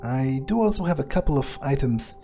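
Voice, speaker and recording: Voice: medium pitch, animated, brisk, gravelly, dark tone, faint music behind. A person thinking aloud in character as a scheming, amoral vampire in a game playthrough, hesitant and casually profane. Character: scheming, amoral vampire musing on own plans. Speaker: player narrating a roleplay game playthrough in character.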